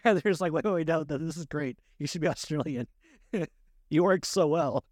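A man co-hosting a podcast, chatting informally and haltingly about films and games. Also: on the high side, darkish tone, no room sound. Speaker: podcast co-host. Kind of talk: casual movie and game discussion.

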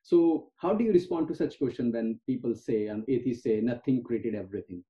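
Earnest podcast host on a tinny line. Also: male voice, mid-range voice, dark tone. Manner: earnest, halting, with restarts. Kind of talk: interview questions.

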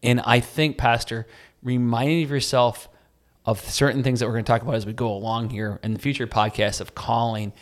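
A man's voice: mid-range voice, steady tone, fairly quick. A man with a Wisconsin accent speaks in a middle-range voice, earnest and encouraging. He co-hosts a casual faith podcast and often restarts his sentences as he thinks aloud. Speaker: podcast co-host.

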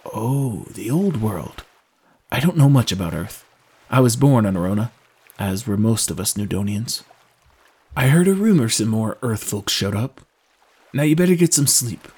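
A man's voice: lowish voice, lively intonation, moderate pace, darkish tone, husky. A man voicing a watchful, superstitious sailor in an audiobook, plain-spoken and easygoing but wary. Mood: curious. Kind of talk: audiobook character voice.

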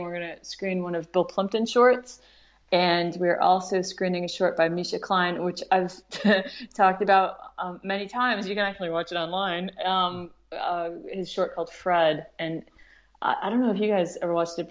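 A woman talks casually as a podcast guest, eager and giggly. Her voice sits a little low. The sound is slightly dull and lo-fi. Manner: enthusiastic, giggly. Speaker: podcast panellist, film screening organiser. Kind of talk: casual chat.

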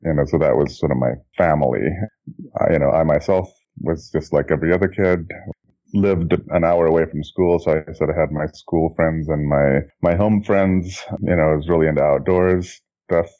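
A middle-aged man from Indonesia, a guest telling his life story in a relaxed, reflective way, often restarting his sentences and chuckling. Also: very deep voice, moderate pace. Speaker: guest, children's book author and graphic designer. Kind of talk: relaxed interview conversation. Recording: dull lo-fi audio.

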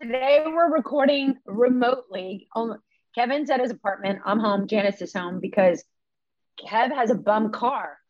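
A woman's voice: a little high, normal speed, darkish tone, no room sound, muffled recording. Giggly woman co-hosting a podcast. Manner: giggly and chatty. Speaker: podcast co-host. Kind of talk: casual podcast chat. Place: at home.

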